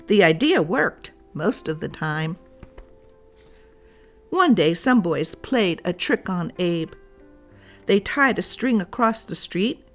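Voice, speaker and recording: A woman reading a storybook aloud for children, deliberately and addressed straight to young listeners. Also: mid-range voice.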